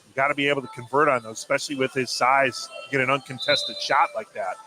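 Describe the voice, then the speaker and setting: A man's voice: medium pitch, fairly even intonation, quick pace, poor audio. A man giving conversational color commentary and analysis during a live basketball radio broadcast. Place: at a basketball game in a gym.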